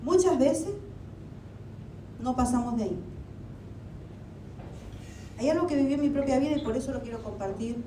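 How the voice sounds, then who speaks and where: Woman preacher, high mellow voice, chatty sermon full of questions, roomy hall with the mic set back. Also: moderate pace, long pauses. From Argentina. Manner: conversational preaching with rhetorical questions. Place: church hall. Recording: echoey hall, mic at a distance, faint rumble.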